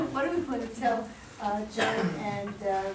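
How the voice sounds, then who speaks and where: Really noisy echoey recording, slow talking woman running a casual feedback chat, highish. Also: animated. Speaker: discussion leader. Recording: very noisy echoey room, distant mic.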